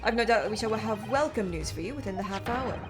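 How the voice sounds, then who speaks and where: A woman's voice: mid-range voice, expressive, fast, close to an everyday voice. A woman voicing a pompous, theatrical male Victorian great detective who speaks grandly and formally. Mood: jovial. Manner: grandiose, self-congratulatory, British-style formal speech. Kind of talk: voicing characters while playing a game. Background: game music.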